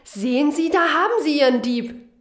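Radio play role: guarded, matter-of-fact woman night worker played by an actress, evasive, slightly high voice, roomy recording. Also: animated, normal speed. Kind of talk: radio crime play acting.

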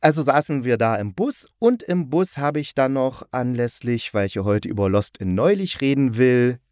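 A man's voice: mid-range voice, lively intonation, moderate pace, a little mellow, no room sound, studio quality. A man hosting a podcast about audio dramas, chatting casually and rambling a little, with wry, ironic asides. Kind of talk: casual solo podcast talk.

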